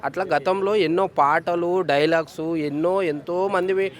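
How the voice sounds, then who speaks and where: Male street interviewee, Telangana Telugu accent, fairly high pitch, emphatic, repetitive, casual opinion-giving. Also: steady tone, unhurried. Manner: emphatic, repeats points. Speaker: member of the public interviewed. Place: outdoors on a city street.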